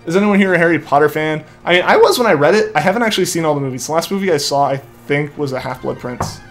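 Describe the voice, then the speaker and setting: A man's voice: on the high side, lively intonation, quick pace, fairly bright tone, faint music behind. Rambling young man on a live stream. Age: young adult. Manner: rambling, filler-heavy, keeps saying like. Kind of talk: casual live-stream chat, reading viewer comments. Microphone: headset mic feeding back to headphones.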